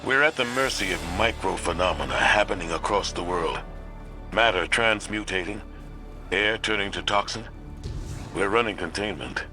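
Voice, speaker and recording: An actor playing a grave, authoritative military commander in a video game cutscene, giving a measured, formal briefing. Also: male voice, lowish voice, music in the background, natural voice.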